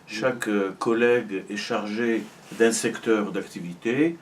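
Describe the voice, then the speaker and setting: A middle-aged man from Morocco who works as a university arts and culture officer, answering interview questions in a measured, explanatory way, with many hesitations. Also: lowish voice, fairly even intonation, far from the mic, a little hiss or hum.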